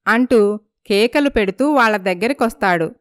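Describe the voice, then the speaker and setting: A woman's voice: medium pitch, lively intonation, moderate pace, no echo, studio quality. A woman narrating a scripted moral story.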